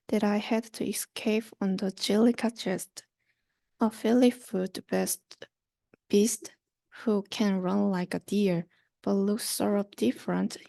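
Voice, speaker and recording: A young woman with a soft-edged, mellow tone reads children's verse aloud. She goes slowly, and the sound is dry with no room to it. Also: medium pitch, gravelly, no echo. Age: young adult. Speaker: student reader. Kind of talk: reading a rhyming children's book aloud.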